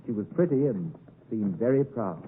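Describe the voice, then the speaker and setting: An actor playing a grown gentleman recalling his boyhood in measured, literary 1950s radio drama narration. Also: lowish voice, animated, slight background noise, close to an everyday voice. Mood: reflective, earnest. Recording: tinny 1950s radio broadcast, music behind.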